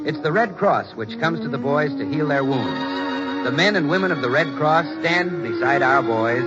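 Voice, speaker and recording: A man announcing a solemn, emphatic wartime charity appeal on muffled 1940s radio, with music behind him. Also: mid-range voice.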